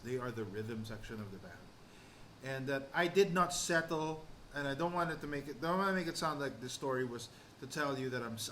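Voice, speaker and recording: A man's voice: medium pitch, bright, forceful tone. A man talking casually as a musician hosting a vlog, rambling and often repeating or correcting himself. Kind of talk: casual storytelling and drum kit tour. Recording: clear background noise and room ambience.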